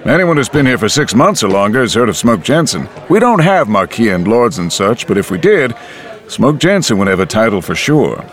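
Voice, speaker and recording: A genial saloon keeper, as a narrator puts him on, talking with lively swings in pitch. He sounds welcoming and easy. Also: a little fast, close to an everyday voice. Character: friendly French-born saloon owner, genial.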